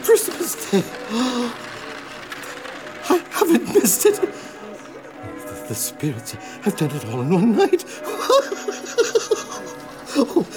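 An actor playing a joyful, repentant old miser in a radio drama, exclaiming and stammering with excitement. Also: male voice, high voice, extremely slow, pauses often, music in the background, full character voice. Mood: giddy elation. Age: older.

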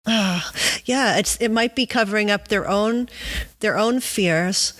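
A woman interviewing casually, thinking aloud in a halting, tentative and reflective way. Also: medium pitch, a bit bright. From United States. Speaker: podcast interviewer.

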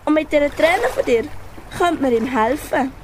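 A woman's voice: sits high, expressive, normal speed, background noise. A schoolgirl with a Swiss German accent playing a helpful, resolute elephant in a children's radio play. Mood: helpful, eager. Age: child or young teen pupil. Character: helpful, resolute young female elephant Molly.

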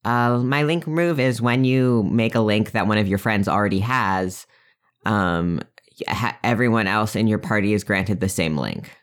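A player at a tabletop game, hesitant and filling pauses. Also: medium pitch. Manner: hesitant, laughing. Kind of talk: casual tabletop game chat.

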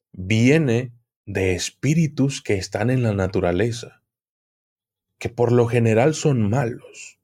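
A man, a guest on a podcast, explaining at length in a measured, hesitant way. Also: medium pitch, a little mellow. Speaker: guest occult expert. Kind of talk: podcast conversation.

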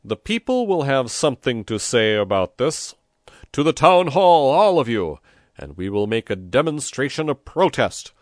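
A man voicing a hot-headed, blustering village mayor in a storybook, loud, exasperated and given to oratory. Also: deep voice, big pitch swings, moderate pace, dry recording, clean studio sound, exaggerated voice. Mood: rousing, defiant.

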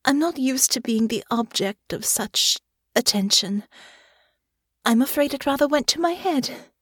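A woman voicing a shy, flustered young noblewoman who speaks hesitantly and softly in an audiobook. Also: high-pitched, animated, unhurried, no room sound, studio quality, voice pushed up, exaggerated voice. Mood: bashful. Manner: hesitant, breathy and soft-spoken. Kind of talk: audiobook character voice.

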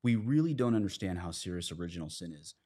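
A man's voice: deep voice, expressive, quick pace, mellow. A man, a priest, speaking as a podcast guest, earnestly explaining religious ideas in conversational, plain analogies.

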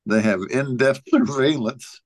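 A man co-hosting a casual podcast, reading out trivia in a halting, joking way. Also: mid-range voice, no echo. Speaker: podcast co-host.

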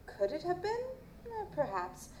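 A woman's voice: sits high, huge pitch swings, a little mellow, exaggerated voice. Woman acting a cool medical examiner, roomy sound. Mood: measured, evasive. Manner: formal, measured, dismissive. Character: cool, guarded female chief medical examiner. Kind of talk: audio drama dialogue. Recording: roomy, noisy live stage recording.

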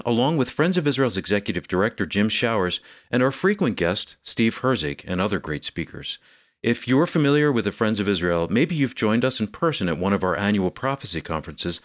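A man's voice: fairly low pitch, no room sound, clean studio sound. A man, the co-host, reading an upbeat scripted announcement.

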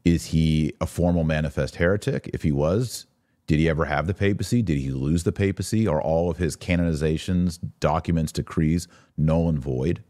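A man's voice: rumbling low, moderate pace, dark tone, no room sound, clean studio sound. A man hosting a live podcast, reading listener comments and giving informal, opinionated, emphatic commentary. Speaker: podcast host.

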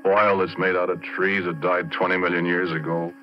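An actor playing a retired, middle-aged oil-field roughneck, telling his story in colloquial, conversational 1940s radio drama style. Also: sits low, level tone, moderate pace, faint music behind, natural voice. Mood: musing, ominous. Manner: colloquial, conversational, addressing the listener directly. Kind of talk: 1940s radio drama first-person narration. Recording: muffled vintage 1940s radio recording.

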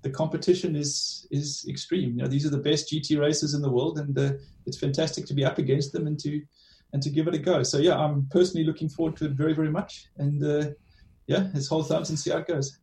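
A man with a South African-tinged accent speaks in a gravelly, mellow voice, measured and full of restarted phrases. He sounds set back from the mic in an echoey room. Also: on the high side, little pitch movement, recorded from a distance. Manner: measured, restarts phrases with many "you know"s. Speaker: motorsport team director, guest. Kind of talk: remote interview answers.

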